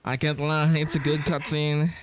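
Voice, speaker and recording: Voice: medium pitch, monotone, normal speed. A streamer giving casual, sweary, reactive gameplay commentary in a laid-back way. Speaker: streamer playing a game and reading lines aloud. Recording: game music behind the voice.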